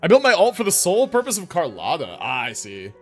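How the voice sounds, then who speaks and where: A man's voice: fairly high pitch, wildly swooping pitch, quick pace, fairly bright tone. A man livestreaming a video game, chatting casually and reacting in slangy, mildly sweary language. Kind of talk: casual livestream chat with gaming commentary. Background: video game music and dialogue.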